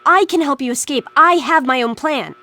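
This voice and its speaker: A woman's voice: high voice, normal speed, sharp tone. A woman playing an anxious, loyal teenage girl in a video game, earnest and pleading. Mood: earnest, sympathetic. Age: young adult. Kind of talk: video game dialogue acting.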